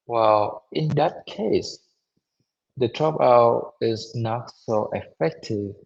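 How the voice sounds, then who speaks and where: A hoarse man giving a university lecture online, halting, with many repeats and fillers. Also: lowish voice, darkish tone. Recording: dull lo-fi audio.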